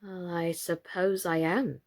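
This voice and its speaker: A wise and kindly lady doll from a storybook, voiced by a woman reader. She explains things patiently, slow and measured, sitting calmly below the livelier voices around her. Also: lowish voice. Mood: modest.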